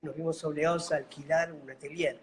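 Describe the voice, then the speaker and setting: Gentle, unhurried Argentine man. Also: fairly high pitch, fairly even intonation, low-quality recording. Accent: Argentine Spanish, slightly Bolivian-tinged. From Buenos Aires, Argentina. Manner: unhurried, gentle, fond of diminutives. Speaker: guest, mime and clown actor. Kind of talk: casual interview storytelling. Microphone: video call.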